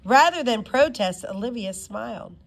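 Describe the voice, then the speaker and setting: A woman reading a children's novel aloud as an expressive storyteller. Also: on the high side, normal speed, creaky. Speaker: reader.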